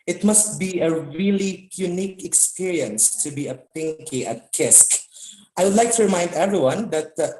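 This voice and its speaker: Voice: medium pitch, a little mellow. A show host reading links and handing over to the next segment. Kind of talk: live show hosting. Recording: echoey room.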